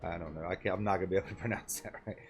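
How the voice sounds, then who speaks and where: A man's voice: low-pitched, fairly even intonation, very fast, soft background music. A man giving casual gameplay commentary as a game streamer.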